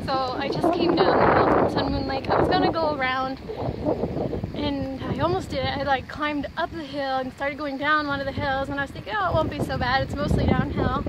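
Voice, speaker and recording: High-voiced young woman vlogging outdoors. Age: 20s. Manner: chatty, informal, rambling. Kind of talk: casual vlog chat to camera. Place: outdoors on a rural roadside. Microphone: handheld phone at arm's length. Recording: noisy outdoor audio with ambience and traffic.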